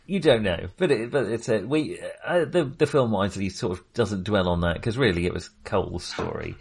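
Male British podcast co-host, lowish slightly husky voice, conversational, thinking aloud in broken, rambling sentences. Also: expressive, normal speed. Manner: hesitant, rambling, self-interrupting. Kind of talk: casual film discussion.

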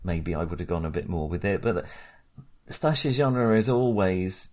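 A man's voice: low-pitched, lively intonation, moderate pace, a little mellow. A man co-hosting a film podcast, chatting casually with a wry, somewhat hesitant delivery.

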